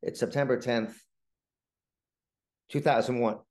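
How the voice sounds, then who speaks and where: A middle-aged man, a playwright being interviewed, tells stories conversationally in a halting, rambling way, often repeating words. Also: medium pitch, fairly even intonation, a little mellow.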